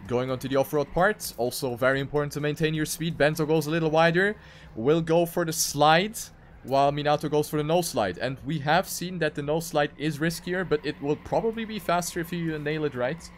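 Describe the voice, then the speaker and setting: A man's voice: on the high side, brisk, a little hiss or hum. A man commentating live on a racing game, calling the action play by play and repeating phrases for emphasis. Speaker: esports race commentator.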